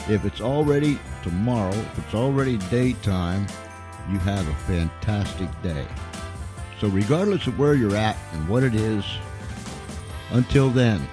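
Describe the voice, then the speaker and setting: A man's voice: on the low side, expressive, measured pace. A man hosting an informal live radio show, speaking to listeners in a folksy, effusive way and repeating phrases for emphasis. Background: music.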